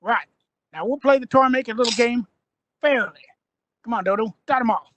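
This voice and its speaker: A woman reading the part of a brisk young male adventurer in a live amateur radio play, giving orders and urging others on. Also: on the high side, muffled recording, slight background noise, close to an everyday voice. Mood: commanding.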